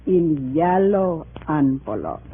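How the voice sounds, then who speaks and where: A woman's voice: low-pitched, big pitch swings, slow pace, exaggerated voice. An actress playing a weary old immigrant mother in a 1950s radio drama. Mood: weary, reluctant. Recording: muffled, noisy old radio recording.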